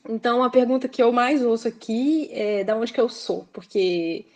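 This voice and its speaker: A woman's voice: a little high, normal speed, darkish tone, lo-fi sound. A woman from Belo Horizonte with a Minas Gerais accent, a guest author talking casually and reflectively, with frequent hesitations. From Belo Horizonte, Brazil. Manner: reflective, hesitant, fills with "assim", laughs often. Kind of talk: casual interview conversation.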